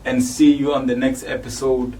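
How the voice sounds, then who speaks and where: A man's voice: medium pitch, little pitch movement, moderate pace, warm tone. A man with a South African accent hosting a casual interview in a laid-back, slangy way. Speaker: host. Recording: distant mic with faint noise.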